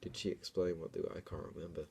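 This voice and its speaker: A man's voice: low voice, flat delivery, quick pace, dark tone, slight background noise. A young man doing a dramatic reading of a story, breaking into casual, self-mocking asides. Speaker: comedian reading own childhood book.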